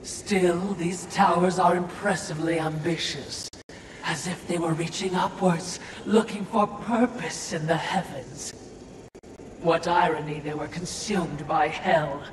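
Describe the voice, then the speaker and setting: A man's voice: steady tone, unhurried, noisy background, lightly acted. A man voicing an obsequious, sardonic spirit servant in a video game, speaking in a formal, snide and fawning way. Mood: snide, musing. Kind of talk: theatrical video game dialogue. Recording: echoey, distant, loud rumbling game ambience.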